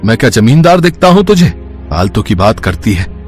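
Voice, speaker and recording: A man's voice: fairly low pitch, sing-song, fairly quick, faint music behind. A man voicing an irritable bedridden husband in a cartoon, sarcastic and snapping. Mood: angry.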